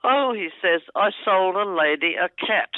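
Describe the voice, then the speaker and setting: An older Australian woman chatting and telling a rambling family story on the phone, laughing often and talking loudly. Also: mid-range voice, lively intonation, a little slow. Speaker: aunt recalling family stories on a phone call. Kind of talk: casual phone chat, storytelling. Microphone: telephone. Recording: tinny phone line, dry.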